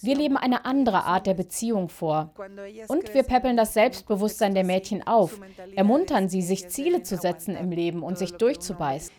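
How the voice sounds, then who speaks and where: A woman voicing the dubbed translation of an interview, explaining slowly and deliberately. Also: medium pitch, animated, warm tone. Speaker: voice-over translator. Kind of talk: voice-over dubbing of an interview.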